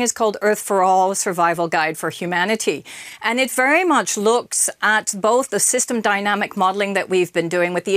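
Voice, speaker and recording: A woman's voice: mid-range voice, a bit bright, no room sound, clean studio sound. A woman speaking as an expert guest in a news interview, articulate, emphatic and formal. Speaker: interviewed expert, organization co-president.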